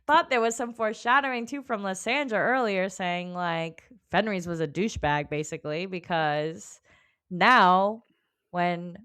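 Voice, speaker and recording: A woman's voice: mid-range voice, measured pace, a bit bright, smooth. A woman co-hosting a book club podcast in casual chat, slangy and sweary, prone to giggling. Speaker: podcast co-host.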